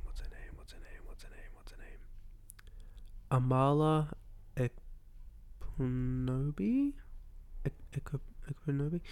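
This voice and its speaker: A young man from Romania co-hosts a casual podcast. His voice is gravelly with a mid-range pitch. He talks in a laid-back way and often trails off while searching for a word. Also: a little mellow. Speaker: podcast co-host. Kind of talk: casual chat.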